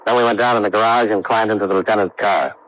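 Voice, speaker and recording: An American actor playing a brisk, hard-boiled investigator who narrates his case in clipped, matter-of-fact 1950s radio style. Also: lowish voice, steady tone, fairly bright tone, a little hiss or hum, lightly acted, rough recording. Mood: brisk, reflective. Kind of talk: 1950s radio detective acting. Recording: vintage radio drama recording.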